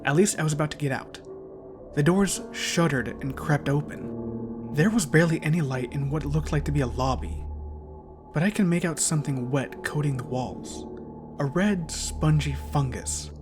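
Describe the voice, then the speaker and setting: A man reading a first-person horror story in a suspenseful, theatrical storytelling manner. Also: on the high side. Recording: music behind the voice. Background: clear background soundtrack music.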